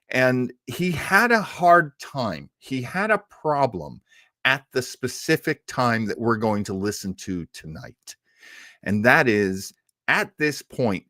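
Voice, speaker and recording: A man hosting a podcast, talking informally and haltingly to his audience in a chatty, self-deprecating way. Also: mid-range voice, a bit bright, no echo, clean studio sound. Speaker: host of an old-time radio podcast. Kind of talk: informal hosted monologue to a live stream audience.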